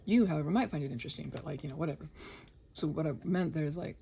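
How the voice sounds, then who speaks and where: Woman, playful and scattered ASMR creator, mellow with plenty of vocal fry, rambling casually and muttering while she works. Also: low-pitched, racing, slight background noise. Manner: playful, chatty, talks to her computer. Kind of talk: casual unscripted body-doubling ramble.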